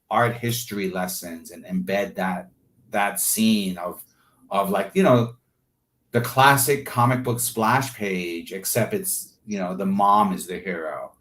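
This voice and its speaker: A man's voice: lowish voice, fairly even intonation, unhurried, fairly bright tone. A man being interviewed as an artist, talking casually and thoughtfully about his creative process, often searching for words and peppering in "like" and "you know".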